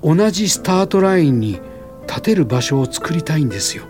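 An actor in a radio drama playing an idealistic, gently persuasive school founder, speaking courteously and deliberately. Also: male voice, on the high side, very animated, over music. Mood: earnest.

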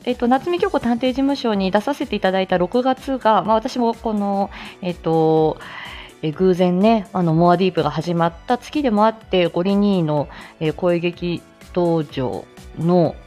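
A woman's voice: mid-range voice, normal speed, mellow, soft background music. A woman hosting a casual live audio stream, chatting to listeners in a giggly, playful way. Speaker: speech-language therapist.

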